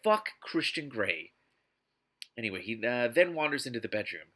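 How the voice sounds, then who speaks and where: Male reader-commentator, forceful bright tone, exasperated and emphatic delivery. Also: mid-range voice, big pitch swings, moderate pace. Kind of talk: scripted reading broken by indignant commentary.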